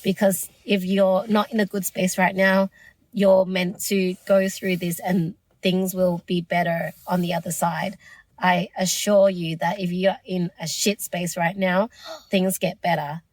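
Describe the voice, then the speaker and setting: A woman's voice: fairly low pitch, flat delivery, unhurried, darkish tone, no echo. A woman hosting her own podcast, losing her voice, speaking in an earnest, reflective and encouraging way. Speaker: podcast host. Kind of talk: solo podcast monologue.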